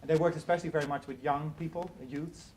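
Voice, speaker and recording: A middle-aged man lectures at a quick clip, earnest and prone to restarting words mid-thought. His voice sits a little high for a man. The recording carries clear background noise from the hall. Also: fairly even intonation, a little mellow. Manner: earnest, repeats words while searching for phrasing. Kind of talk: academic lecture and Q&A answers.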